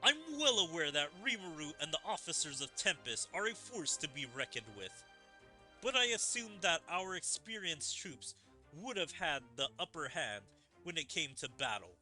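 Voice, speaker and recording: Man acting warrior king, game story, stately, measured, forceful, music behind. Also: fairly high pitch, sing-song, exaggerated voice. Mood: measured, proud. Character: proud, measured male king of a warrior nation.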